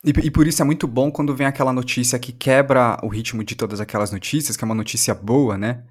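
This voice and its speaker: A Brazilian man speaks in a conversational, analytical way as an interviewer. He talks fast, in a mid-range voice. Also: mid-range voice, fairly even intonation, slightly rough.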